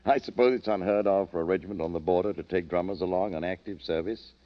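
Deep, gruff army colonel, acted by a man. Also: fast, natural voice. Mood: relenting. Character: gruff but kindly British army colonel. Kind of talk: 1940s-50s radio drama acting. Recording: dull old radio recording.

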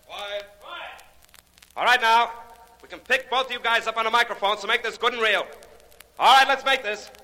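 An actor playing a brisk, bossy movie director who barks commands in 1940s radio drama style. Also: male voice, extremely high pitch, pushed and bright. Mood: barking orders. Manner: barking commands. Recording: old radio recording, reverberant hall.

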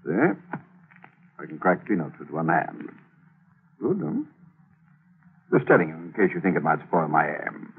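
A gravelly smug radio killer. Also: male voice, extremely low pitch, big pitch swings, exaggerated voice. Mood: smug menace. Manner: smug, teasing, slow menace. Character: sly menacing male killer, peanut-cracking, mocking. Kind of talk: 1940s radio acting. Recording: tinny old radio broadcast.

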